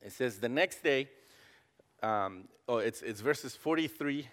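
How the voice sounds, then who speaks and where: A man's voice: medium pitch, lively intonation, unhurried, a bit bright, big-room sound. Friendly Costa Rican man preaching in a church. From Costa Rica. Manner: friendly and joking, frequent hesitations. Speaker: guest campus pastor. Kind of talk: casual sermon. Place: church sanctuary.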